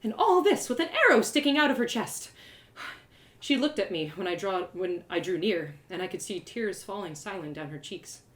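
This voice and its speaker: A woman reads a fantasy story aloud as its first-person narrator. Her pitch sits in the middle for a woman, and her delivery is theatrical and expressive. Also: normal speed. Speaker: storyteller, live reader of a fantasy novel.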